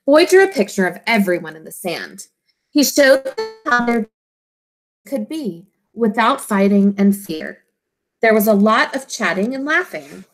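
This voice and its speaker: A woman teacher reading a children's storybook aloud, expressively and in simple, deliberate phrases for young listeners. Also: a little high, animated, poor audio.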